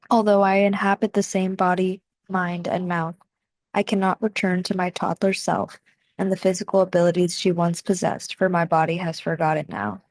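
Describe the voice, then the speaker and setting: A young American woman reading her own reflective video essay in a measured, soft-spoken way, with deliberate pauses. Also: on the low side, no room sound. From United States. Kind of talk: scripted reflective narration.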